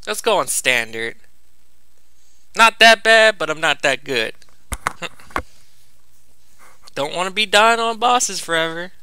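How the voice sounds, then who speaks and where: A man's voice: sits high, animated, slow pace, pauses often, pushed and bright, clear, resonant tone. A young man giving casual gameplay commentary, laid-back and thinking aloud as he goes. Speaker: gamer recording a walkthrough.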